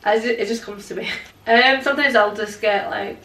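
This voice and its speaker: A woman's voice: a little high, steady tone, fairly quick, a bit bright. A young woman, answering interview questions hesitantly with plenty of fillers from a living room sofa. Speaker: guest, paranormal investigator. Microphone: far camera. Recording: echoey room from a distance.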